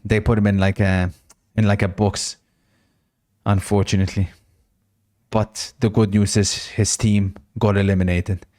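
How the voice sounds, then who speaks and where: A man with a fairly low voice hosts a live football watch-along. He chats casually, reacts to the play and answers viewer messages. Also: mellow. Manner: chatty, reacts to the match and reads out viewer messages. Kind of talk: casual live match commentary and chat.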